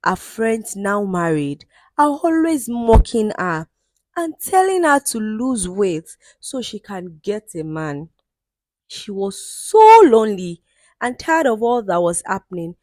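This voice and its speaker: A woman narrating a folktale in an expressive, dramatic storytelling manner. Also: mid-range voice, takes it slowly, dry recording.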